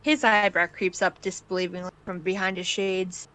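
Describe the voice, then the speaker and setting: A woman's voice: fairly low pitch, fairly even intonation, moderate pace, bright, forceful tone, rough recording. A woman reading scripted second-person fan fiction aloud as the narrator.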